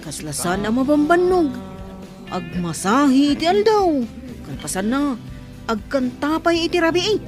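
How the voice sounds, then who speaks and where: An actor playing an excitable, chatty buddy in a radio drama, with a Filipino accent. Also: male voice, very high voice, moderate pace, music in the background. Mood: excited. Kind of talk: Ilocano radio drama acting.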